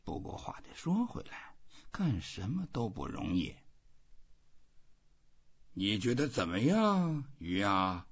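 A weary old fisherman from a storybook, read by a man, stoic and reflective. He muses slowly to himself in a very breathy, husky voice that rises and falls freely. The sound is a little muffled. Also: on the low side, big pitch swings, heavily acted. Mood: addressing the fish.

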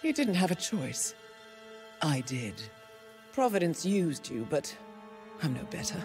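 A woman playing a cool, calculating intelligence handler in a video game, speaking in measured, formal phrases. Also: deep voice, big pitch swings, exaggerated voice. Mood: regretful, grave. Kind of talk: dramatic video game cutscene acting. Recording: long hall reverb under loud music.

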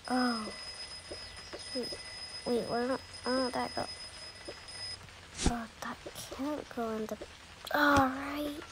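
A woman's voice: high voice, fairly even intonation, takes it slowly, long pauses, very noisy. A woman voicing a busy mother in improvised pretend play with game characters, hesitant and murmuring to herself. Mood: busy, distracted. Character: busy mother packing up for a family move.

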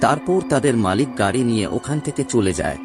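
A man's voice: mid-range voice, expressive, quick pace. A man giving scripted narration for a cartoon story. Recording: loud music behind the voice.

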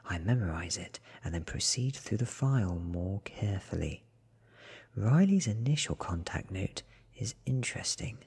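Very low, breathy man reading softly. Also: big pitch swings, moderate pace. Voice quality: breathy, often slipping into whisper. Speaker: reader. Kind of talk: soft-spoken ASMR audiobook reading.

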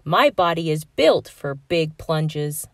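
A woman's voice: sits low, lively intonation, slow, no echo, clean studio sound, full character voice. A woman voicing a proud, boastful pelican pupil in a storybook, speaking confidently and emphatically.

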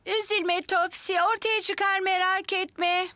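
A woman's voice: very high-pitched, fairly even intonation, takes it slowly, sharp tone, no room sound, full character voice. A woman playing a kindly, cheerful older grandmother who speaks soothingly to small children in a dubbed children's drama. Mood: reassuring. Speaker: grandmother character.

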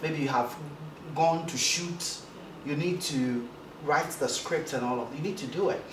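A light-voiced man talks animatedly in an interview, tripping over a few starts. The sound is roomy, with the mic set back from him. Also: measured pace. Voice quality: light, high-ish voice for a man. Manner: animated, gestures a lot, stammers on starts. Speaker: broadcast journalist guest. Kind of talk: casual interview talk. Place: indoor studio set, seated in armchair. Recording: roomy echo, distant mic, background noise.

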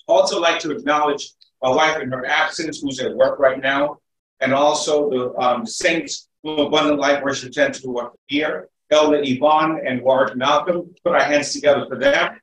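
A man, a pastor and guest speaker, opening his sermon loudly and exhorting the congregation to praise. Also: a little high, fairly even intonation, normal speed. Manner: loud, exhorting. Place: church sanctuary at a pulpit. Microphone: far camera, speaking without mic. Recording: echoey church from far off.

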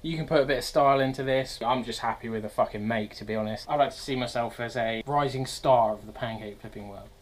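A man's voice: mid-range voice, fast talker, hardly any pauses, slight background noise. A young British man hosting a cooking vlog in his small home kitchen, swearing and laughing constantly. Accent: British English. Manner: profane, rowdy, laughs and yelps a lot. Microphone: fixed wide-angle camera across the room.

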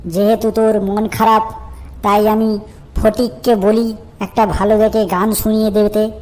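A woman's voice: medium pitch, little pitch movement, a little slow, darkish tone, close to an everyday voice. A woman voicing a chummy, mischievous schoolboy in a comic cartoon, teasing and exaggerated, with a West Bengal Bengali accent. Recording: clearly noisy track.

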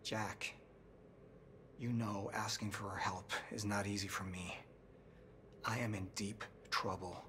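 An actor playing a driven, persuasive scientist in earnest, cinematic video game dialogue. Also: male voice, fairly low pitch, steady tone, measured pace, long pauses, sharp tone, a little hiss or hum. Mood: earnest, pleading. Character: driven, persuasive male scientist friend, secretly nervous. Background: music.

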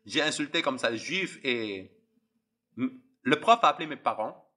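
Impassioned young man preaching, husky and tense. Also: mid-range voice, expressive, moderate pace, sharp tone. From Algeria. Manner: impassioned, repetitive, addresses listeners directly. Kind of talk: preaching testimony.

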